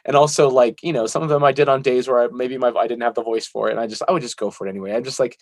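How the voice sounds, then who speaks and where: Man, rapid speech, dry sound, casual interview chat, rambling, self-deprecating musician. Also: mid-range voice, fairly even intonation, no echo. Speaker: musician guest being interviewed.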